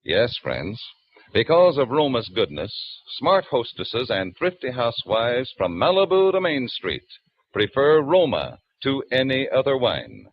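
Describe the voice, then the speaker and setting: A man reading a 1940s radio commercial as announcer, in a smooth, polished delivery. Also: medium pitch, big pitch swings, measured pace, dry recording. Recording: dry, dull 1940s broadcast.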